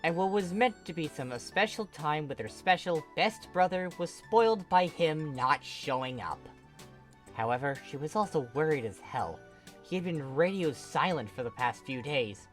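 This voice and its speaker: Fan fiction narrator, mid-pitched voice, bright and punchy, expressive scripted delivery, faint music bed. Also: moderate pace. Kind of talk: dramatic scripted narration of a comic story.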